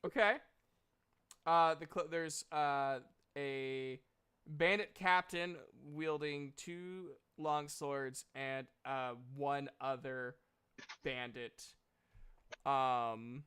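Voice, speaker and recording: A man's voice: mid-range voice, steady tone, drawn-out words, fairly bright tone, clean tone. A man acting as game master, casually narrating and bantering with players in a jokey, self-deprecating way.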